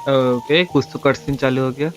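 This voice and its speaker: A man's voice: medium pitch, steady tone, racing, soft background music. A man with an Indian accent live-streaming a game, reacting casually in short interjections and reading along. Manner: short interjections, switches between languages.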